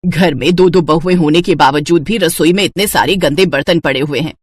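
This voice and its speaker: Woman playing a husky, stern mother-in-law. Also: low voice, a little fast, no room sound. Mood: scolding. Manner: bossy, scolding. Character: stern scolding middle-aged Indian mother-in-law. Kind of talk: Hindi cartoon family moral story.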